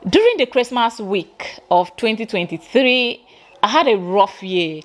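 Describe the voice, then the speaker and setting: A Nigerian woman in her forties, giving a lively reaction commentary from her living room, full of rhetorical questions. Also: medium pitch. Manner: animated, exclaiming, rhetorical questions. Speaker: vlogger reacting to a clip.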